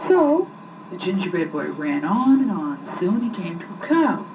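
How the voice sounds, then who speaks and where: A young woman reading children's stories aloud in an expressive, rhythmic storytelling style, on an old muffled home recording. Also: on the low side, unhurried. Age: young adult. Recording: muffled, noisy 1980s home recording, distant mic.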